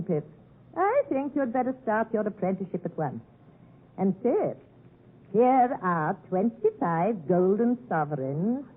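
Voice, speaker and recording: An actress playing an imperious, eccentric rich old spinster in theatrical, commanding 1950s radio drama style. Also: female voice, medium pitch. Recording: muffled narrow-band 1950s radio recording.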